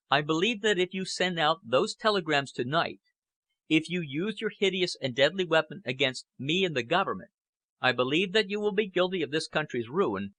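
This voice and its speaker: A man performs a grave British Prime Minister of an audiobook novel, strained and aging. He orates sternly and deliberately in a forceful, raised voice with sweeping emphasis. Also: on the high side, dry recording, pitched above the performer's own voice. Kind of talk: audiobook character acting.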